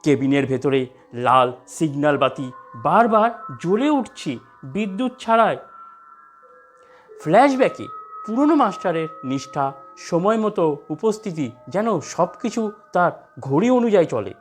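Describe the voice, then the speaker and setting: Lively horror story narrator over music. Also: mid-range voice, a little slow.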